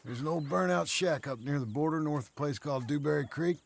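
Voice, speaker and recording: An actor playing a scheming, matter-of-fact American cowboy outlaw with a gravelly voice in a video game cutscene. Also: male voice, on the high side, quick pace. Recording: faint background noise.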